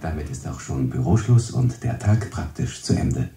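An actor playing a formal radio announcer addressing the audience in a radio play. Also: male voice, a bass voice, sing-song, normal speed, gravelly, mellow, a little hiss or hum, exaggerated voice. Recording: roomy echo.

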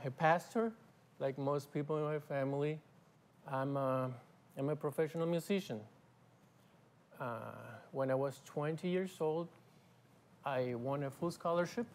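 A middle-aged man from Argentina, a guest musician, talking in a halting, casual way with fillers and restarts in a TV studio on a lapel mic. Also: fairly high pitch, a little mellow. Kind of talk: casual interview talk. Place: TV studio set.

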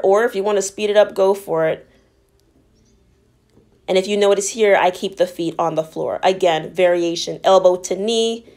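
A woman's voice: mid-range voice, a little mellow. A woman narrating a workout as a fitness instructor, explaining each move in an encouraging, instructional way.

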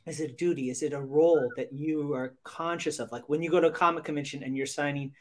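Fast-talking, higher-voiced man. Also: steady tone. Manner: halting, repeats words. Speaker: co-host, interviewer. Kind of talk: casual enthusiastic chat. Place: home room indoors, video call. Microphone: video call mic.

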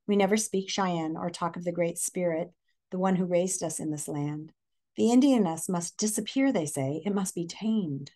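A middle-aged woman with an American accent reads a picture book aloud, expressively, pausing to put questions to young listeners like a teacher. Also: fairly low pitch, warm tone. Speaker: read-aloud presenter. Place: living room, indoors.

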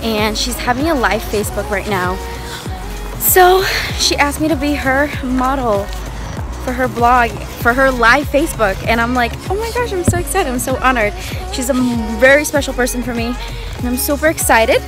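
A woman's voice: sits high, moderate pace. A young woman vlogging in a casual, chatty, gushing way, recorded on a handheld camera at arm's length. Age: young adult. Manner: gushing, excitable. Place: car, street and rooms, on the move. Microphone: phone or camera at arm's length. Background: background music.

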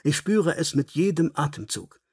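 Earnest, husky English nobleman read by a man. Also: a little high, normal speed, darkish tone, no room sound, clean studio sound. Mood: sad, earnest. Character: earnest, melancholy English nobleman, gracious younger lord.

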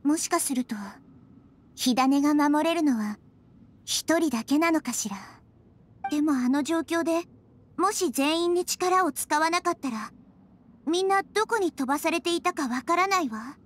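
A woman voicing a tiny, childlike nature goddess in an anime game, soft and girlish, speaking in a measured, earnest, gentle way. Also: high-pitched, natural voice. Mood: thoughtful. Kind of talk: Japanese anime game voice acting. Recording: studio voice over background music.

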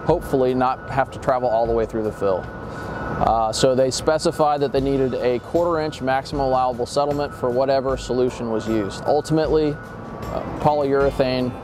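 A man, a sales engineer, explaining in an interview in a casual way, with many filler pauses. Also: medium pitch. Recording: interview with music bed.

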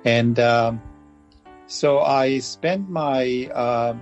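A man in his fifties with a Balkan accent, talking casually and haltingly as an interview guest. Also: mid-range voice, lo-fi sound, faint music behind. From Bosnia and Herzegovina, former Yugoslavia. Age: 50s. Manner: halting, repeats words. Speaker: podcast guest. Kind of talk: casual interview talk, recounting.

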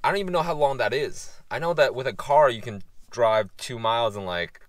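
A young man chatting casually to camera as a camping vlogger, joking in a deadpan, mock-grumbling way. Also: mid-range voice, brisk, dry recording. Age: young adult. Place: outdoors at campsite and trail, also inside parked van. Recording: outdoor and in-car ambient noise.

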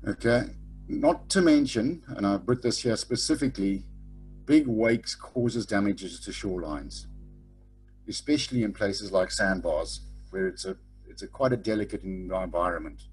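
A man's voice: lowish voice, normal speed, darkish tone, faint music behind, poor audio. A middle-aged man teaching a sailing lecture over a webcam, blunt and informal, tagging his points with "okay?". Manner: frequent "okay?" tag questions. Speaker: sailing instructor. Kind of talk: online lecture. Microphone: webcam over video call.

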